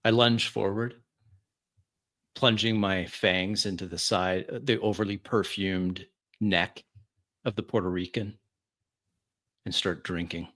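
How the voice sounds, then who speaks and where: Low-voiced man narrating dramatic roleplay. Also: fairly even intonation, fairly bright tone. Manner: deliberate, broken phrasing with long pauses. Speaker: tabletop roleplay player. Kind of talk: dramatic improvised first-person narration.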